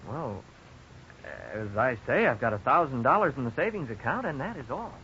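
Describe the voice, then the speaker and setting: A man's voice: mid-range voice, expressive, moderate pace, bright, forceful tone. An actor playing an anxious but earnest man in his twenties in debt, in a 1950s radio drama style, hesitant and exclamatory when troubled. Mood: worried, frustrated. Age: 20s. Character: worried young debtor and husband, twenty-six, anxious but earnest. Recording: dull, noisy 1950s radio recording.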